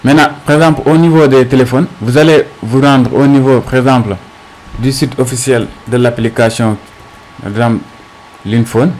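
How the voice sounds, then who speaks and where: A man with a mid-pitched voice walks through a screencast tutorial. He speaks off the cuff as an instructor, haltingly, posing small questions and answering them as he explains each step. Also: steady tone, a little mellow, slight background noise, poor audio.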